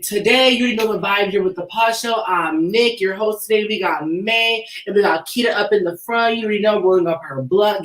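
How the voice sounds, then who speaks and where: A woman hosting a casual gossip podcast, speaking bluntly with plenty of swearing and slang. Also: mid-range voice. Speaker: podcast host. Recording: roomy, echoey sound.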